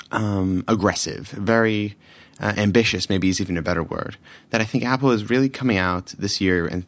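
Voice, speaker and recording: A man hosting a solo tech podcast, his voice slightly raspy from yelling, rambling and often correcting himself. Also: lowish voice, no echo. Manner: rambling, self-correcting, many fillers. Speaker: podcast host.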